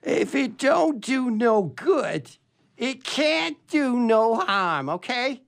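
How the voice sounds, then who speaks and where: A woman voicing a folksy old auntie with homespun wisdom and drawn-out words, in radio theatre style. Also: fairly high pitch, big pitch swings, creaky, voice pushed up, full character voice. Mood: wry, folksy.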